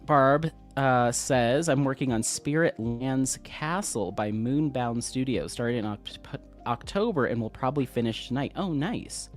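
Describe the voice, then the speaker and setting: Laid-back sniffly guy chatting on a craft stream, mid pitch, quiet music under it. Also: measured pace, hardly any pauses. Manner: relaxed, friendly, reads viewer comments aloud. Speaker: livestream host. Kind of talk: casual live-stream chat, reading comments. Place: home craft room, at a desk. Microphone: desk microphone.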